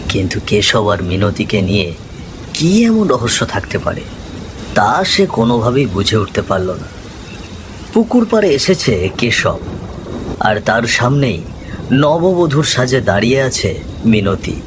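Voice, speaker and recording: A man's voice: mid-range voice, big pitch swings, measured pace, pauses often. A man giving scripted, dramatic narration of a ghost story as its storyteller. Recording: clear rain and thunder noise behind. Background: rain, thunder, ambience.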